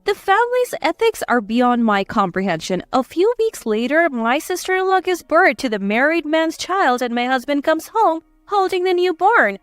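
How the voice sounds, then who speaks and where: A woman reading a scripted first-person drama story in an expressive manner. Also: high voice, normal speed, hardly any pauses, no echo, faint music behind. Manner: expressive, acting out dialogue. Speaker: story narrator.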